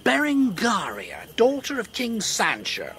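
High-voiced, swooping, scheming king. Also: wildly swooping pitch, takes it slowly, fairly bright tone, slight background noise, exaggerated voice. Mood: silky, needling. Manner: courtly, insinuating, pompous. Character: smooth, scheming rival king, falsely gracious. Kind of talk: historical radio drama acting.

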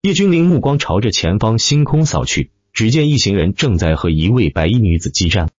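Deep-voiced man narrating with lively swings. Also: fairly quick, husky, no echo, muffled recording. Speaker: audiobook narrator. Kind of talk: dramatic scripted web-novel narration.

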